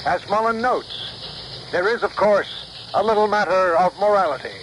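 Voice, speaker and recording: A sensational newscaster in a 1970s radio play. His fairly high voice delivers the bulletin formally and emphatically, through clearly noisy old broadcast sound. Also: lively intonation, normal speed. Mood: urgent, dramatic.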